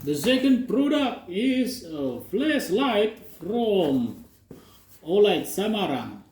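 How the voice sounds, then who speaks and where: A man with a Russian accent talks through an unboxing in a high, animated voice. He speaks very slowly in halting English, with long pauses between phrases. The room around him is echoey. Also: a little hiss or hum. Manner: slow, halting non-native English with pauses. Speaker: unboxing vlogger. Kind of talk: casual unboxing commentary.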